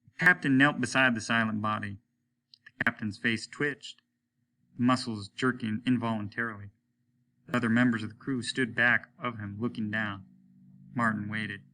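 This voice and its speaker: Bright-voiced man reading a story aloud. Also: lowish voice, moderate pace. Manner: expressive, acts out the dialogue. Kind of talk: dramatic audiobook narration.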